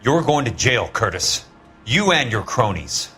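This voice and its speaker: A man's voice: medium pitch, very animated, measured pace, pushed and bright, faint music behind. An actor playing a relentless male investigator in a video game, terse, commanding and confrontational. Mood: accusing. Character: hard, blunt male investigator, relentless and accusing. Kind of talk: dramatic video game acting.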